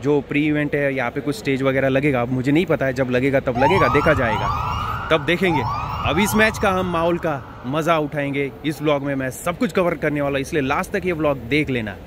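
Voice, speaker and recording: Young male vlogger, excited and chatty, handheld camera, outdoors with steady background noise. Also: fairly high pitch, fairly quick. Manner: chatty, excited, addresses viewers as brother. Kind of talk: casual walking vlog. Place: outdoor cricket stadium. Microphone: handheld action camera. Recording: noisy outdoor crowd ambience.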